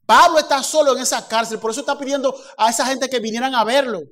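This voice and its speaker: Pastor guy preaching, high voice, gets loud and punchy on the big points. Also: steady tone, fast. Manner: preaching with acted dialogue and rising emphasis. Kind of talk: sermon.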